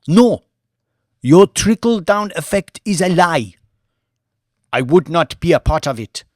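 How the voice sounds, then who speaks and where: A man's voice: on the high side, lively intonation, a little slow, no room sound, studio quality, voice pushed up. A man voicing a proud, suspicious Nigerian woman activist who makes indignant, emphatic declarations in an audiobook. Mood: indignant, defiant.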